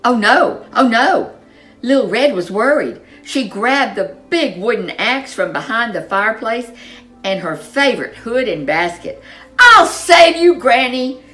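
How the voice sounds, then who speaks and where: A woman storyteller reading a children's storybook in an expressive, animated way, with dramatic emphasis. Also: on the high side, measured pace, soft background music.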